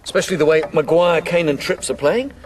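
A man's voice: on the high side, fairly quick, slight background noise, close to an everyday voice. An actor playing a chummy, self-assured village man, casual and jovial, in a naturalistic radio soap. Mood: breezy.

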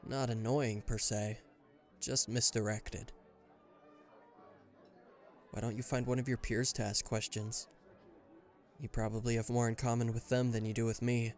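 A man's voice: mid-range voice, level tone, unhurried, pauses often, natural voice. A man playing an aloof, reluctantly caring bodyguard in a roleplay audio drama, talking to the listener in a dry, guarded, mildly exasperated way. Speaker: voice actor.

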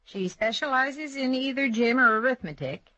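An actress playing a brisk, sensible, wry small-town daughter in an old-time radio comedy. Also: female voice, high voice, sharp tone, no echo. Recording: dull dry vintage radio recording.

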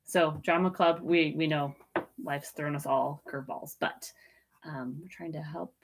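A woman's voice: sits low, steady tone, measured pace, husky. A woman in her thirties casually co-hosting an online auction over a webcam in a small room, chatty and giggly while checking messages. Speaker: auction co-host. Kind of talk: casual livestream auction chat. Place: indoors, small room with tiki decor.

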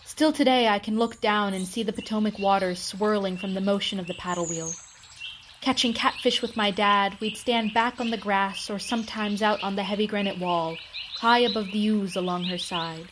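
A woman's voice: mid-range voice, normal speed. An American woman telling a scripted oral-history story in a narrating style. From United States. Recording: clear background noise with birdsong.

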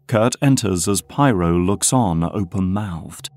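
Low-voiced man narrating an audio drama. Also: slow pace, darkish tone, dry recording. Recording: music under narration.

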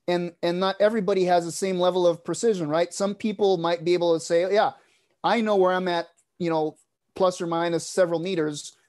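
A man's voice: high-pitched, steady tone, brisk, a little mellow. A man hosting a panel webinar over a video call, thinking aloud with fillers and restarts. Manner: thoughtful, many fillers and restarts. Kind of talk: casual panel moderation. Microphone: wired earbuds on a video call.

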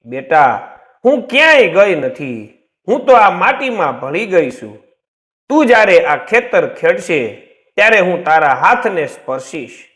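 A man voicing a tender, solemn dead village mother speaking to her son in a storybook tale, gently and gravely. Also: high voice, sing-song, a little slow, full character voice. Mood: tender, reassuring. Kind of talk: storybook character voice in narration.

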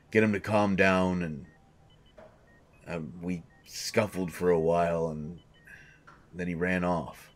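A man voicing a gruff male sports coach who is slowly turning into a hungry monster, speaking haltingly and repeating himself. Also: low-pitched. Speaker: audio drama voice actor. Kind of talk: audio roleplay monologue.

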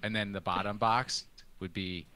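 A man's voice: on the low side, steady tone, normal speed, sharp tone, a little hiss or hum. A man hosting a casual podcast over a video call, chatting and reading out a listener's email with laughing, self-deprecating asides. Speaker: podcast host.

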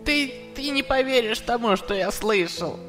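A man's voice: very high-pitched, expressive, moderate pace, music in the background. A man voicing an earnest, anxious, tender-hearted skeleton in a comic dub, with a dramatic, hesitant, imploring delivery. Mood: anxious, sad.

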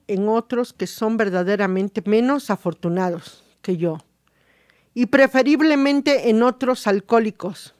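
A woman with a Mexican accent hosting a radio show, reading reflections aloud in a halting, deliberate way. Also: on the high side, darkish tone, clean tone, dry recording, clean studio sound.

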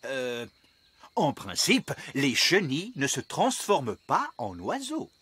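A man voicing a wise, patient middle-aged fairy king and father in an animated series, explaining things in a measured way. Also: mid-range voice, slightly rough, full character voice. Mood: gently correcting.

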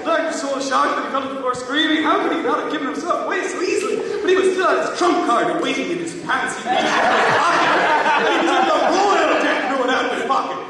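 A young man gives a rushed, irreverent, mock-dramatic comic reading, loud, theatrical and sweary. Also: very high voice, expressive. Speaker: panel performer reading fan fiction. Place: large convention hall, from the audience. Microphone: far camera. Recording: distant camera in echoey hall, audience noise.

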